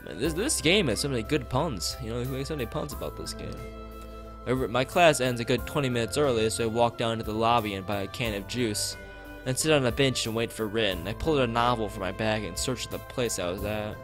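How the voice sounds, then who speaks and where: A man's voice: medium pitch, a little fast. A man streaming a visual novel, reading its text aloud with casual asides, often stumbling and repeating phrases. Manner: stumbles over read lines and repeats phrases. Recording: music behind the voice. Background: background music.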